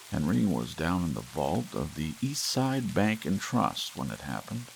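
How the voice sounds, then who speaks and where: A man narrating an audiobook in a slow, soft, hushed ASMR reading over gentle rain. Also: low voice, creaky, slight background noise, rough recording.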